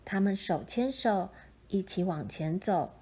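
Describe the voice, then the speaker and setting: A woman narrating a children's picture book in a gentle, measured storytelling style. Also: medium pitch, slightly rough.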